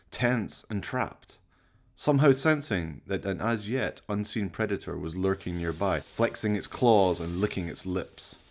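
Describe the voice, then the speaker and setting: Man playing a sinister, deep-voiced horror storyteller. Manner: slow, menacing, mock-polite, ornate and dramatic. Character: sinister, courtly horror-tale storyteller by a fireside, darkly sardonic. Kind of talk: theatrical horror radio play narration.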